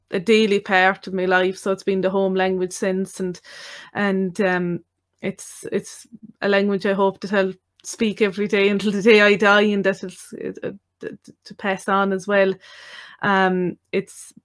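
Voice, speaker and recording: An Irish woman in casual chat who speaks haltingly, with many restarts. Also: mid-range voice, level tone.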